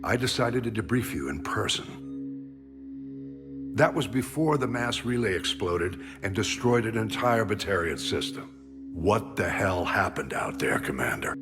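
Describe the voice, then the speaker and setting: An actor playing a gruff older military admiral in a video game, speaking in a measured, grave, authoritative way. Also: male voice, on the low side, sing-song, music in the background. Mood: stern concern. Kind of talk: video game dramatic acting.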